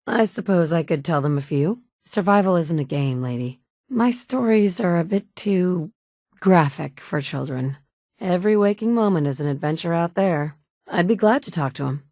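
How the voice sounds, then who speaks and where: Brief: a curt game survivor heroine, low-set voice, dry studio sound. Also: female voice, expressive, moderate pace, no room sound. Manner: curt and dry, shifting between blunt and gentle. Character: tough wasteland survivor woman, blunt and wry. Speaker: player character. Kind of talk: video game dialogue lines. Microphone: close studio mic.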